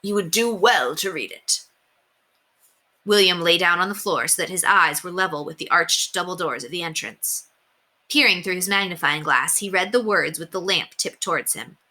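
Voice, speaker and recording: A woman's voice: fairly low pitch, fairly quick, pushed and bright. A woman narrating a children's story expressively, as a teacher reading aloud.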